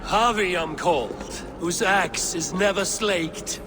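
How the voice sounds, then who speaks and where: An actor playing a boastful warrior god in a video game, speaking formally and declaiming like a courteous guest. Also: male voice, high voice, slow pace, music in the background. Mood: bold. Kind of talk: video game character acting.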